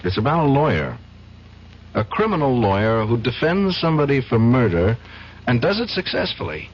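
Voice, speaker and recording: An intense, swooping-voiced troubled lawyer. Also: male voice, medium pitch, big pitch swings, husky. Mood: uneasy. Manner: halting, intense, agitated. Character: troubled, indignant younger defense lawyer. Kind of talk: old-time radio drama acting. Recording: dull, faintly noisy old radio recording.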